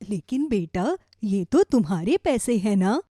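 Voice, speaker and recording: A woman voicing a kindly, grateful old grandmother in a children's story, gentle and affectionate. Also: mid-range voice, big pitch swings, measured pace, dry recording, studio quality, pitched below the performer's own voice. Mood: troubled.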